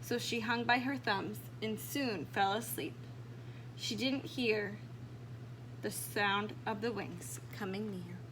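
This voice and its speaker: A woman reading a children's storybook aloud in an expressive storytelling style. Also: medium pitch, takes it slowly, noisy background. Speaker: storybook reader.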